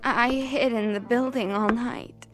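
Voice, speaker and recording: A woman's voice: a little high, steady tone, unhurried, soft background music, natural voice. An actress in a radio drama playing an older grandmother, humble, desperate and emotional, in an imploring manner. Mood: desperate, pleading.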